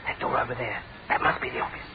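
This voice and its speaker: A man's voice: medium pitch, fast, heavy background noise, natural voice. A man playing a cheeky young British detective's assistant in a radio drama, breezy and slangy. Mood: urgent. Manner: breezy, teasing, chatty. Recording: tinny phone-like radio recording, crowd behind.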